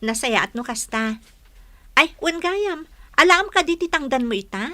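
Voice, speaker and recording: A woman's voice: high voice, animated, normal speed, sharp tone. A woman playing a sweet, helpful young student with an Ilocano Filipino accent in a comedy radio drama, friendly and chatty. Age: young adult. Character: sweet, helpful young female student helping at a market stall.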